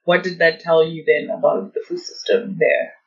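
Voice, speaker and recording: A man's voice: a little high, flat delivery, moderate pace. A man hosting a podcast, chatting casually and encouragingly with a guest. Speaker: podcast host. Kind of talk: casual interview chat. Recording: dull lo-fi audio.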